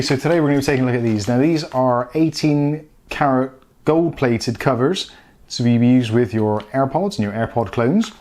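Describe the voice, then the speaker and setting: Man, medium pitch, product unboxing host, casual review style, talking directly to viewers. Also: a little mellow, slight background noise.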